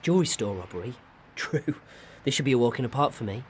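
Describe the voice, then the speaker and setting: A man's voice: medium pitch, lively intonation, fast talker, slight background noise, close to an everyday voice. Man playing a curt, weary ex-criminal. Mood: cocky. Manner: curt, sardonic. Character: weary, gruff, retired male criminal, stubborn and dismissive. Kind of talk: radio drama acting.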